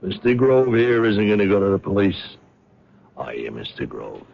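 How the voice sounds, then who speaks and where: A husky, menacing fake cop. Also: male voice, on the low side, big pitch swings, moderate pace, exaggerated voice. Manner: curt, bullying, gives orders. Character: menacing male gangster posing as cop. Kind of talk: 1970s radio drama acting. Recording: dull old radio broadcast.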